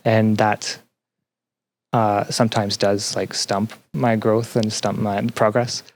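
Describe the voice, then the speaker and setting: A young man answering as a podcast guest in an earnest, conversational way, haltingly repeating phrases as he thinks. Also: on the low side, flat delivery. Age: young adult. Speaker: podcast guest, student and teaching assistant.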